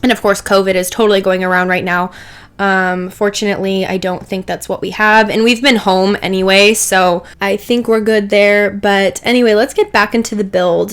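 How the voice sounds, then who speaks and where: A woman's voice: medium pitch, clear, resonant tone. A young woman getting over a cold, her voice a little stuffy and dry, chatting casually over a game build with many asides and "like"s. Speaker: Sims build creator.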